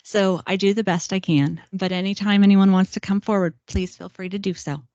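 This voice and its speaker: Soft-voiced woman official. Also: mid-range voice, a little fast, dry recording. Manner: calm, conciliatory explaining. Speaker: city official. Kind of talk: council meeting remarks. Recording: dull lo-fi council chamber mic.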